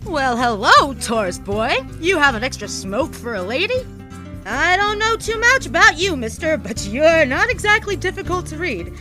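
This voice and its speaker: Flirty, high-voiced 1920s dame. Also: female voice, big pitch swings, normal speed, sharp tone, faint music behind, heavily acted, low-quality recording. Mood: flirty, teasing. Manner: flirty, slangy, teasing. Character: flirtatious, brassy 1920s Chicago woman cadging cigarettes. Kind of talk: 1920s period audio drama acting.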